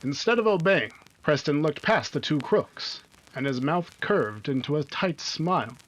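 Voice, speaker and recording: A man's voice: fairly high pitch, lively intonation, a little slow, fairly bright tone, low-quality recording. A man playing the announcer of an old-time radio adventure, narrating with urgent, emphatic drama. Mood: suspenseful.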